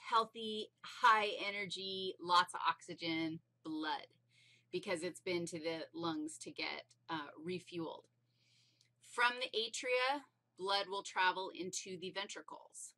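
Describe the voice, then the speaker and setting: A woman's voice: mid-range voice, sharp tone. A woman teaching anatomy in an informal, playful and emphatic way, explaining things step by step.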